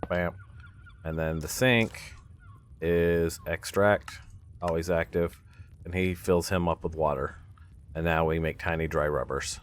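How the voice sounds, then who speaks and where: Low male voice, casual let's-play commentary, gaming host, thinking aloud, step-by-step self-talk. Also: fairly even intonation, unhurried, pauses often, smooth. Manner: thinking aloud, talking himself through steps.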